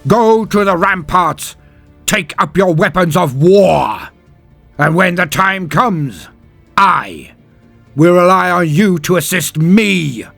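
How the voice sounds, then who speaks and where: A man voicing a valiant space marine champion in a sci-fi audiobook, giving a solemn, rousing battle speech in a grand, drawn-out, declamatory way. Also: high voice, lively intonation, gravelly, fairly bright tone, no echo, faint music behind, pitched above the performer's own voice, heavily acted. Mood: solemn, rallying. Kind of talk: dramatic audiobook character speech.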